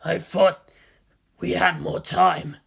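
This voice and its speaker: A narrator voicing a gruff, aggressive male special forces team leader in an audiobook story, growling out commands. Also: mid-range voice, level tone, slow, fairly bright tone, full character voice. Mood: grim resignation. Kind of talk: dramatic audiobook character voice.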